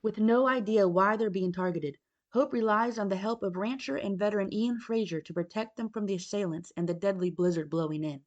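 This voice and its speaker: A woman delivers scripted narration in the manner of a book trailer. Her voice sits at an ordinary middle pitch as she reads the prepared text. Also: a little fast, a little mellow, dull audio.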